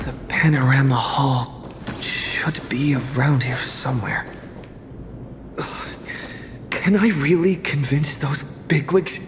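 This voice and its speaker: An actor playing an earnest young male hero in a video game, with a hesitant, deliberate way of talking. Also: medium pitch, over music, close to an everyday voice. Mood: anxious musing.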